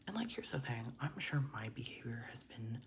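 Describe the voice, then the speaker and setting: A man's voice: medium pitch, big pitch swings, moderate pace, background noise. A young transgender man whispering a casual, rambling storytime while doing makeup, with lots of "like"s and casual swearing. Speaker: vlogger.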